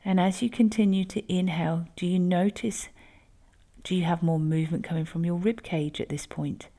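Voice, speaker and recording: Gentle woman with a gravelly low voice. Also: steady tone. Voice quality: gentle, soft-spoken, unhurried instructions. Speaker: movement and breathing instructor. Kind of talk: guided breathing exercise.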